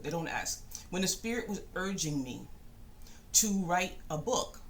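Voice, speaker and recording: A middle-aged woman giving a reflective personal monologue in a thoughtful, halting way, often pausing and rephrasing. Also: on the low side, a little hiss or hum. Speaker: spiritual channel host and self-published author.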